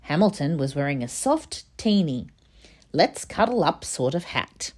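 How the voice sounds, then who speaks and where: Animated low-pitched woman reading a storybook. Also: unhurried. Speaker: storybook reader. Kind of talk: children's read-aloud narration.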